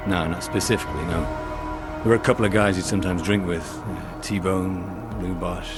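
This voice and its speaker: An actor playing a guilt-ridden, protective police lieutenant in a video game, speaking in an earnest, guarded, halting way. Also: male voice, deep voice, fairly even intonation, loud music behind the voice, lightly acted. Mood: wary. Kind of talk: video game dialogue acting.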